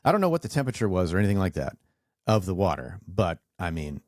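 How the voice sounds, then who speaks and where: A man in his forties with a very low, deep voice, telling stories in a loose, rambling way as he hosts a podcast conversation. The sound is close and dry, with no room around it. He leans on fillers and trails off between thoughts. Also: quick pace, no room sound. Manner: relaxed, rambling storyteller with many fillers. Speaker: podcast host. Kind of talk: casual interview chat.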